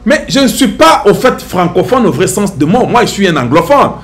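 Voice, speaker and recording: A man's voice: high voice, sing-song, moderate pace. A middle-aged man with a Congolese French accent giving impassioned, preachy social commentary to his viewers, full of rhetorical questions.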